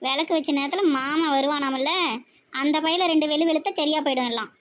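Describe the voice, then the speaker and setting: A woman acts out a bossy older village mother-in-law, high-voiced and nagging. She scolds sarcastically with a southern Tamil Nadu accent, in the style of a domestic comedy skit. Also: fairly quick, fairly bright tone, slight background noise. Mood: nagging, indignant.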